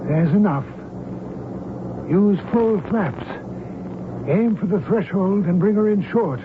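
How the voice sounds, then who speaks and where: An actor playing a smug, coolly threatening hijacker cult reverend and former pilot in old-time radio drama style, formal and commanding. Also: male voice, high voice, slow. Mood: brisk, commanding. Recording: tinny, noisy old radio broadcast recording.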